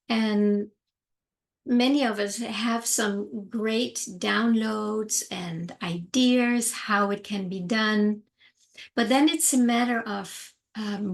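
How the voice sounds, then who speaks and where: A middle-aged woman from Denmark, giving a calm, reflective spiritual talk and often pausing to search for words. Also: medium pitch, creaky. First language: Danish. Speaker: spiritual teacher.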